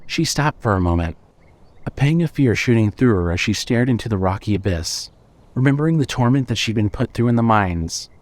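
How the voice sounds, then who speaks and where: A man narrating a scripted story in a measured, suspenseful way. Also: mid-range voice, expressive, darkish tone.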